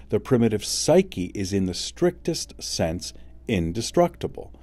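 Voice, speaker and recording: Male narrator, very low and mellow, dry close sound, for a solemn, deliberate reading of a classic text. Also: no echo. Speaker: audiobook reader. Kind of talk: measured scripted narration of a classic essay.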